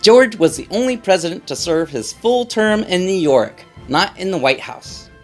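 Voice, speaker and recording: Animated man reading aloud to children. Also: sits high, moderate pace, soft background music. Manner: playful, theatrical emphasis, asks listeners questions.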